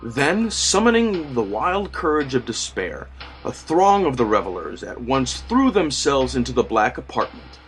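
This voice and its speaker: A man narrates gothic horror in a theatrical, measured style at a medium pitch. His delivery is formal and dramatic, with music running underneath. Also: very animated, a bit bright, rough recording. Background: background music.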